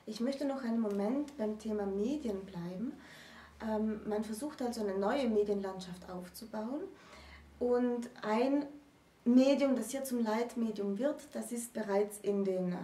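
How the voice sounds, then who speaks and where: A woman in her thirties explaining literary history in a conversational, deliberate lecture style as a co-presenter. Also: medium pitch, dark tone. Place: small indoor room with bookshelves. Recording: roomy echo, distant microphone.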